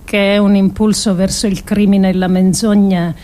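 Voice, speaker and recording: A woman performing a scripted radio reading in a measured, deliberate, declamatory way with pauses. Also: mid-range voice, level tone, mellow. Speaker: performer reading an adapted essay.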